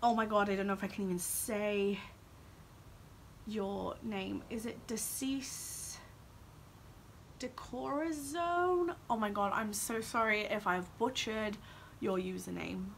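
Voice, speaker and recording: A woman's voice: medium pitch, slow pace, gravelly, a bit bright, slight background noise, poor audio. A woman, chatting casually and ramblingly to camera as a vlogger, saying "like" often. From Wales and Australia. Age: 20s. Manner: rambling, informal, heavy use of "like".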